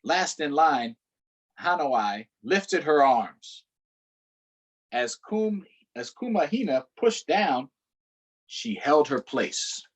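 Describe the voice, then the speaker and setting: A man reading a picture book aloud to children in an unhurried way, pausing for short explanatory asides. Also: a little high, very animated, husky. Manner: unhurried, adds explanatory asides to young listeners. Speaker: storytime reader.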